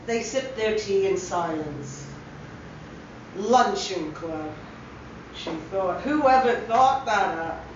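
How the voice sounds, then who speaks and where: A woman's voice: medium pitch, expressive, takes it slowly, long pauses. A woman giving a dramatic reading of a short story as scripted narration. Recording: roomy echo, distant mic, dull hissy sound.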